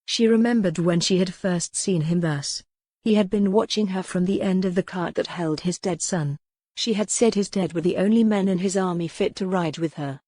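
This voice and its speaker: A woman's voice: fairly low pitch, normal speed, dark tone, no echo, clean studio sound. A woman reading scripted, dramatic historical romance narration as an audiobook narrator.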